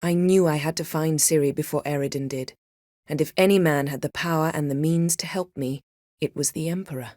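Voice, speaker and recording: Haughty sorceress for a fantasy game , played by a woman: lowish female voice, imperious, cutting, wry and formal, guarded yet devoted; very dry studio sound. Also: fairly even intonation, a little fast, a little mellow, dry recording, close to an everyday voice. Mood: composed, earnest. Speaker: video game character. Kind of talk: acted video game dialogue.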